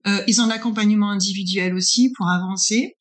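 Woman in her 40s, mid pitch, interview guest explaining stuff step by step, says ok a lot. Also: steady tone, brisk. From northern France. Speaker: interviewed guest, business incubator consultant. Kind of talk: conversational interview answers.

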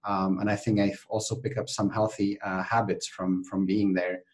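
A man's voice: fairly low pitch, little pitch movement, moderate pace. A man from the Czech Republic with a Czech accent, a podcast guest chatting animatedly with many fillers and restarts. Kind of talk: conversational interview.

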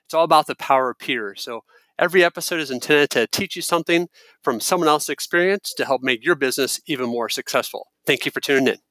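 A man's voice: a little high, quick pace, sharp tone, dry recording. A man hosting a radio interview show, friendly and self-deprecating with his guests. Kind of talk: interview host.

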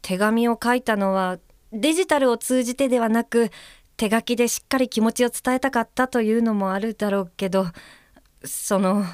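A considerate, watchful female guide helper, played by an actress in a radio drama. Her voice sits a little high and she speaks politely and carefully. The recording is close and dry. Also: fairly quick, smooth, no room sound, close to an everyday voice. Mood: considerate, probing. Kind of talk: Japanese audio drama acting, reading aloud and describing.

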